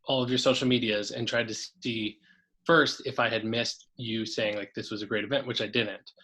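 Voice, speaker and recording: A man's voice: lowish voice, fairly even intonation, moderate pace, pushed and bright, poor audio. A man, a coach and podcast guest, talking casually and enthusiastically, often restarting his sentences.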